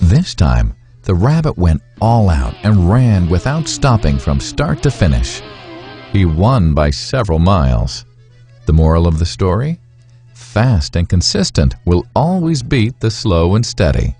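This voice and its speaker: A man narrating a moral fable as a storyteller, reading from a script in measured, deliberate phrases. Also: low-pitched, very animated, warm tone, faint music behind.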